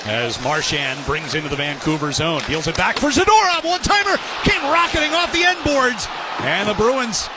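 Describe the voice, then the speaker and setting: A man with a fairly high voice calls a live hockey game as a radio play-by-play commentator. Loud arena noise surrounds him throughout. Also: big pitch swings, normal speed. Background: hockey arena ambience.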